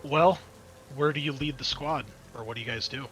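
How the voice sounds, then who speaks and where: A man with a bright, forward voice runs a tabletop roleplaying session as game master. He explains and narrates in a casual, conversational manner. There is clear background noise behind him. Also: mid-range voice, normal speed, rough recording.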